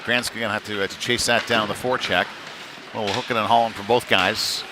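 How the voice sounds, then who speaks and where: A man's voice: fairly low pitch, fairly even intonation, brisk. A man doing live play-by-play hockey commentary in short clipped phrases, following the action as it happens. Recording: noisy rink ambience.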